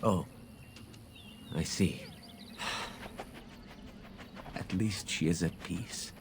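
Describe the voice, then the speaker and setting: Low-voiced grieving elf husband. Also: male voice, very slow, noisy background, full character voice. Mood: grieving, subdued. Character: grieving Dalish elf husband, anxious then solemn. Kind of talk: dramatic video game dialogue.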